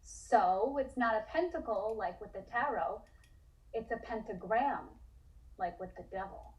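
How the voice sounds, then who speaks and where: A woman's voice: on the high side, unhurried, natural voice. A woman acting a timid, earnest young woman in a table read, anxious and hesitant. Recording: slightly distant microphone.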